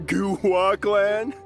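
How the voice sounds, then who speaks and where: A man's voice: sits high, a bit bright, heavily acted. A male actor playing a menacing henchman in a video game, with a mocking, taunting, threatening delivery. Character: sneering, menacing male henchman of a crime boss. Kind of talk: English video game voice acting.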